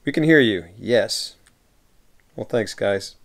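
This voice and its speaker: Gravelly-voiced man chatting with viewers. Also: fairly low pitch, lively intonation, takes it slowly. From United States. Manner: reads viewer messages aloud and replies warmly, often laughing. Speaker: livestreamer reading chat. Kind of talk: casual chat. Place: home room with shelves of films.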